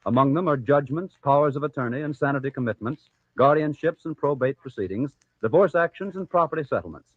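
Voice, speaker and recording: Formal American radio announcer, tinny vintage sound. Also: male voice, fairly high pitch, animated, fast, few pauses, slightly rough, no echo. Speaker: program announcer and sponsor spokesman. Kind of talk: 1940s radio scripted commercial narration.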